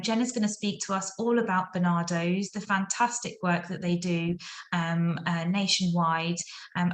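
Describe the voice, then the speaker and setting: A woman's voice: lowish voice, reverberant. A woman hosting an online event on a video call, full of thanks and praise for her guests. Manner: effusive, warm thanks and praise. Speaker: event host.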